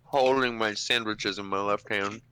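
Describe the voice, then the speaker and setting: A man's voice: on the low side, fairly even intonation, moderate pace, sharp tone, smooth, no room sound. A man casually describing his character's actions as a player in an improvised tabletop game. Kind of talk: casual improvised role-play.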